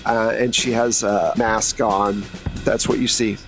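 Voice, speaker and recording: A man's voice: fairly low pitch, steady tone, measured pace, fairly bright tone, soft background music. A man narrating a tabletop role-playing game as host, in an informal, conversational way. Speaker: game master and host of an actual play podcast.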